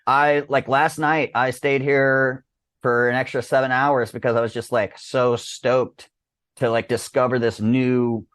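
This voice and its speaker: A man in his thirties chatting casually and excitedly as a podcast guest, rambling with lots of slang and swearing. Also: medium pitch, unhurried, clear, resonant tone, dry recording. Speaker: podcast guest, disc golf business owner.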